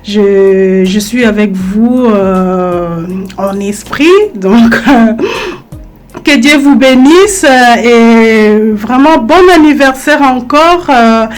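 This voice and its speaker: Woman, video greeting, slow halting delivery, slightly high voice. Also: soft background music. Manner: hesitant, many filler sounds; warm well-wishing, blessing. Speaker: church member sending a video greeting. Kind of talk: recorded video message. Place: Berlin, Germany.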